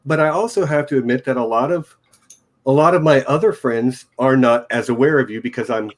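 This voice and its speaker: A man hosting a loose, unscripted chat with a guest. He talks in a relaxed, joking way, wandering through his thoughts. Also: medium pitch, a little fast. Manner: relaxed, joking, casual rambling. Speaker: podcast host. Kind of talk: informal interview chat.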